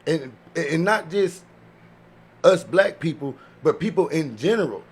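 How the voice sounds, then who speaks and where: A man's voice: a little high, expressive, measured pace, husky. A man on a discussion panel chatting casually, slangy and anecdotal, with some swearing. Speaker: podcast panelist. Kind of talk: casual chat.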